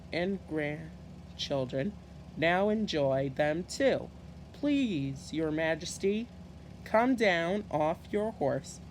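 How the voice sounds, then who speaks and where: Give me a man reading as a proud, cheerful, very old storybook gardener, speaking slowly with faint noise behind. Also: sits high, exaggerated voice, poor audio.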